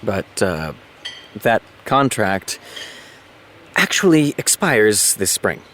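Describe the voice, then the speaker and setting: A hesitant, evasive showrunner in a naturalistic audio drama. His voice sits fairly low and moves up and down a lot, though he talks in an understated, halting way. There is some noise behind him. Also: sing-song, fairly bright tone, full character voice. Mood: hesitant, modest. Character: male TV showrunner, hesitant, brooding, in career doubt.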